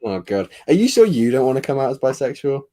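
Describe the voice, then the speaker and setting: A man's voice: medium pitch, sing-song, fast, dark tone. A young man hosting a live stream, chatting casually with dry, teasing banter and frequent laughter.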